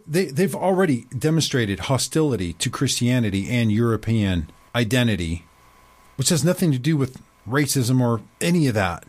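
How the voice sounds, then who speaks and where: A man hosting his own commentary show, talking emphatically, slowing to stress key words and dropping low at the ends of his points. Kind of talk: opinionated commentary reading excerpts aloud.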